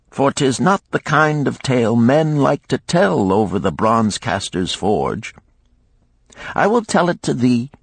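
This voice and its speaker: A man's voice: a little high, dry recording, pitched above the performer's own voice, full character voice. A man voicing a shrewd moneylender of ancient times in a storybook, with grand archaic phrasing and probing exclamations. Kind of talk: audiobook storytelling.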